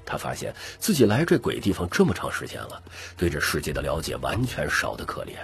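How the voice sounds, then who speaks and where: A man's voice: extremely low pitch, wildly swooping pitch, brisk, vocal fry, a bit bright. A man narrating an audiobook in a scripted, dramatic storytelling style. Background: music.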